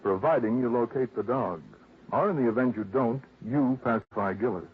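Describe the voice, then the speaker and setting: A man's voice: mid-range voice, moderate pace, close to an everyday voice. An American man playing a matter-of-fact, businesslike insurance agent in a 1950s radio drama. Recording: narrow, faintly hissy old radio recording.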